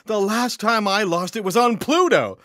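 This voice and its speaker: A man's voice: high voice, animated, normal speed, pushed and bright, no room sound. A man playing a gleeful, scatterbrained little male alien dance champion in a sci-fi audio comedy, easily distracted and prone to giggles. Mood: distracted wonder.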